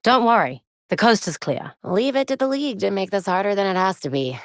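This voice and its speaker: A woman voicing a young crime-fighting superheroine in a video game, with an American accent and a wry, crisp, determined delivery. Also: medium pitch, expressive, a little fast, no room sound, clean studio sound, close to an everyday voice. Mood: dry, businesslike. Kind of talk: scripted video game voice lines. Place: recording studio.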